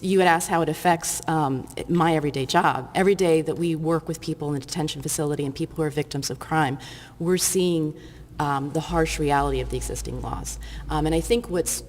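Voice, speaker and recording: A woman's voice: low-pitched, long reverb tail. A woman speaking as a panelist at a public forum, earnest and full of ums and restarts.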